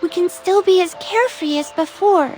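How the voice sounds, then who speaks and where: A woman's voice: very high voice, normal speed, a little mellow, slight background noise. A woman playing a sweet, caring young girl companion in a fantasy game, polite and a little hesitant. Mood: wistful. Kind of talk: dubbed fantasy game cutscene acting.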